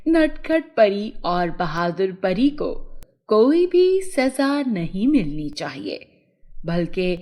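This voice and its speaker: A woman's voice: medium pitch, a little mellow, voice pushed down. A woman voicing a regal fairy queen in a children's story, solemn and declamatory.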